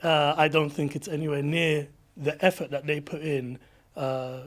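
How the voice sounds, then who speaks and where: Reflective man, slightly higher voice. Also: little pitch movement, moderate pace. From London, England. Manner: reflective, with frequent restarts and fillers. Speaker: podcast guest. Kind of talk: casual interview chat.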